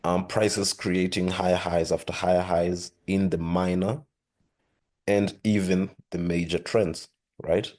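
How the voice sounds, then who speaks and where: A man hosting a trading lesson, talking steadily through charts with a hoarse voice and frequent throat-clearing. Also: deep voice, unhurried. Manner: steady explanatory, repeats "with that being said". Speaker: forex trading channel host. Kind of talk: screen-share trading lesson.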